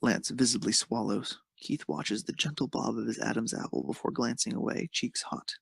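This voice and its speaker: A man's voice: medium pitch, normal speed, gravelly, husky, dry recording. A man reading scripted narration of a romantic fan fiction story.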